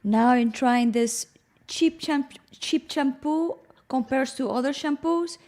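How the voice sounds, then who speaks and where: A woman co-hosting a live talk show, chatting casually with halting restarts. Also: high voice, darkish tone. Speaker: co-host. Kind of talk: casual chat.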